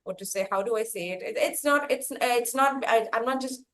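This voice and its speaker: A woman's voice: a little high, fairly quick, a bit bright. A woman hosting a music interview, polite and hesitant, often repeating her words. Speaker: music show host. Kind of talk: interview conversation.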